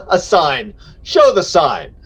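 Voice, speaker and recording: An actor playing a boastful, tipsy, scornful nobleman in a theatrical gothic reading, with exaggerated drawn-out delivery. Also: high-pitched, huge pitch swings, breathy edge, heavily acted. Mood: mocking glee.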